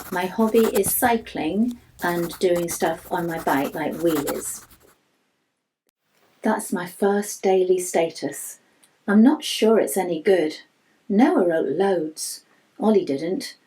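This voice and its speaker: A woman's voice: fairly low pitch, a little mellow, a little hiss or hum, rough recording. A woman voicing an anxious, candid schoolboy reading out his diary in a chatty, confiding way for a children's audiobook. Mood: plain, reciting. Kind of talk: first-person children's audiobook narration.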